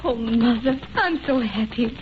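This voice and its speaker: Woman playing a high-voiced, lovelorn young daughter. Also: measured pace, lightly acted. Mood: overjoyed. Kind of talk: old-time radio drama acting. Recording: muffled, noisy old radio recording.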